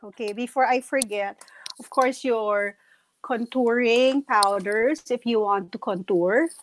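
A middle-aged woman with a Filipino accent and a slightly raspy voice, casually walking through her makeup kit on a live video call through earphones. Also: on the high side, unhurried, slight background noise, poor audio. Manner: shows products one by one to camera. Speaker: guest makeup presenter. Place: home room with shelves. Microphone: earphone headset on video call.

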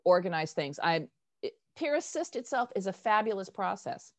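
An older woman hosts an online discussion in an informal, animated manner. She speaks emphatically and pokes fun at herself, heard over a video-call headset at a middle pitch. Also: moderate pace. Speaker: host, workshop facilitator. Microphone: wired earphone headset.

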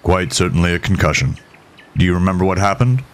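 A man voicing a gruff, stern, impatient small-town doctor with formal, precise speech in an audio horror story. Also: deep voice, lively intonation, fast, slight background noise.

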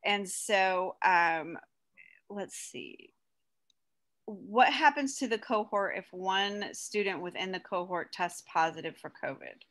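A woman's voice: medium pitch, animated, takes it slowly, fairly bright tone. Woman reading questions on a call. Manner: reads questions plainly, adds brief acknowledgements. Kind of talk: reading submitted questions aloud. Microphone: video call.

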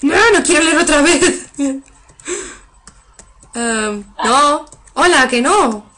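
A young woman with a Spain Spanish accent, giving casual, excitable commentary on a game at her computer. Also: high voice, drawn-out words. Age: young adult. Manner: excitable, laughs often, sudden shrieks. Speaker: let's player. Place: room at a computer.

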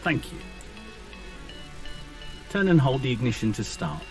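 A man's voice: medium pitch, big pitch swings, measured pace, a little mellow. A man voicing a helpful, encouraging virtual aircraft co-pilot in a flight game, giving scripted checklist instructions. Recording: distant voice with music behind.

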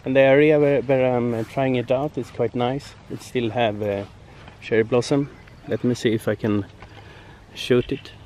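A man in his forties, a native Swedish speaker, chatting casually to his handheld camera in a halting way with many fillers. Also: mid-range voice, fairly even intonation, a little mellow, a little hiss or hum. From Sweden. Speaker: fishing vlogger. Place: outdoors on a grassy field by woods, later in a car.

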